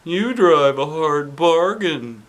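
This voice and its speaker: Man doing an eccentric, high-pitched school principal. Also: animated. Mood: resigned. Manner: exaggerated, drawn-out delivery. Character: eccentric, exuberant middle-aged male school principal. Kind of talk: children's storybook character reading.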